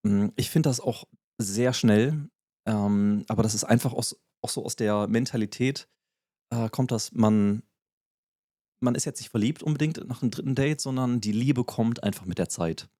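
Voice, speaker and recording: A man's voice: medium pitch, normal speed, dark tone, no echo, studio quality. A man co-hosting a podcast, explaining things in a casual, filler-heavy way. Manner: explanatory, many fillers and restarts. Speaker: podcast co-host.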